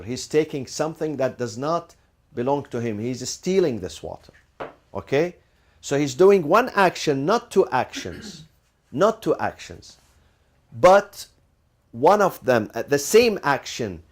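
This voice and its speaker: A man teaching a class, posing rhetorical questions, answering them himself and repeating key phrases, with Arabic legal terms mixed in. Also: mid-range voice. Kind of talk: classroom lecture.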